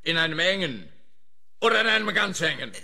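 A man's voice: on the high side, sing-song, a little fast, creaky, pushed and bright, heavily acted. A man playing a pompous, pedantic, accusing police detective in a comic radio play.

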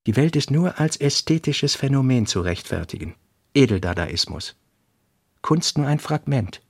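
A man with a husky voice playing a reflective writer, reading aphoristic notes in a literary radio play. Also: mid-range voice, animated, moderate pace, darkish tone, no echo, clean studio sound.

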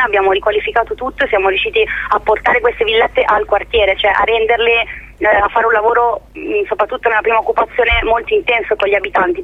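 High-voiced man on the phone. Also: dry recording. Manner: informal, wordy, emphatic repetition. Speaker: activist spokesperson for a squatted social centre. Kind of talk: live phone interview, spontaneous eyewitness account. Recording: tinny phone line.

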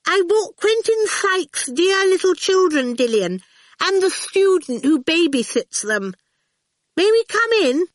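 A woman voicing a fussy, gushing, falsely bright spinster for a storybook, with strained politeness. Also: high voice, expressive, measured pace, no room sound, clean studio sound, voice pushed up.

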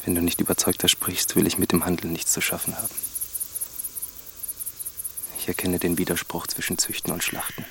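An actor playing a resolute, brooding farmer in a literary radio drama. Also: male voice, low voice, completely flat delivery, a little fast, gravelly, long pauses, fairly bright tone, slight background noise. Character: resolute, brooding farmer dreaming of a new life.

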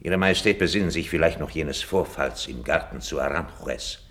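Scheming duke: deep voice, cold, measured, insinuating, formal classical radio drama diction. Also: male voice, fairly bright tone. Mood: solemn, confessing. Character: cold, calculating male duke, scheming courtier. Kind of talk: 1950s radio drama acting, classical stage German.

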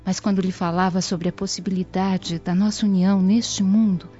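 A woman's voice: mid-range voice, flat delivery, normal speed, warm tone, lightly acted. An actress playing a devout, lovestruck young Roman noblewoman, reminiscing tenderly and solemnly in a radio drama. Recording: dull lo-fi radio drama audio. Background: music.

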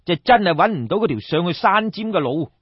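A man with a Cantonese accent telling a folk tale as an animated audiobook storyteller. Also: high-pitched, fairly quick, breathy edge, dry recording, muffled recording.

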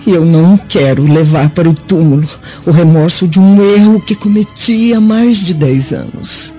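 A woman playing a dying, remorseful older Roman noblewoman in a radio drama, speaking solemnly and haltingly in formal phrases. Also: fairly low pitch, mellow, close to an everyday voice. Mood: grave, remorseful. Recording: dull lo-fi audio with music behind.

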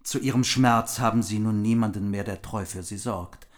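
An actor playing a noble, loyal knightly envoy in a radio drama, speaking formally and earnestly. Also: male voice, on the low side, steady tone, normal speed, lightly acted. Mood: solemn, grave. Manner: formal, solemn.

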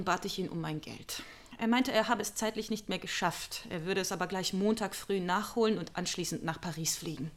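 An actress playing a sober female witness giving a measured account in a radio drama. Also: mid-range voice, close to an everyday voice. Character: female witness soberly recounting her ordeal. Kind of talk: radio drama monologue, recounted testimony.

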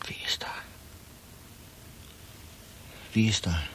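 Slow, trembling young male prisoner. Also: fairly bright tone, whispered. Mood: frightened, pleading. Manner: pleading, halting. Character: frightened young male prisoner, pleading, naked and bound. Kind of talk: radio drama acting.

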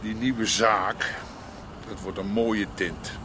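An actor playing a stubborn, principled, ageing Amsterdam underworld boss in a radio drama, blunt and halting, with an Amsterdam accent. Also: male voice, fairly low pitch, steady tone, bright, forceful tone, slight background noise. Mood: brooding. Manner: blunt, halting, repeating words. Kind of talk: naturalistic radio drama dialogue.

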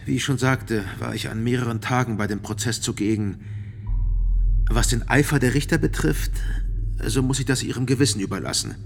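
A man's voice: medium pitch, a little fast, vocal fry, slight background noise, lightly acted. A man playing a guarded middle-aged physician witness. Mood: guarded, earnest. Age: 40s. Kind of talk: radio play testimony, measured acting.

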